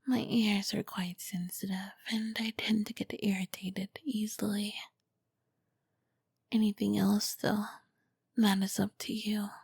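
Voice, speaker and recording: A woman voicing a charming, commanding vampire queen in a slow, breathy, seductive whisper for an intimate ASMR roleplay. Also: medium pitch, flat delivery, darkish tone, no room sound, clean studio sound, full character voice. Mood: commanding, teasing.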